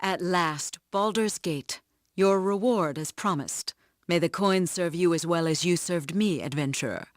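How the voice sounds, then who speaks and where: A woman's voice: on the low side, a little slow, no echo, clean studio sound, close to an everyday voice. A woman playing a confident, wry traveller in a fantasy video game, with a poised and assured delivery. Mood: businesslike. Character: confident, wry female travelling adventurer and caravan contact. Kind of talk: video game dialogue acting.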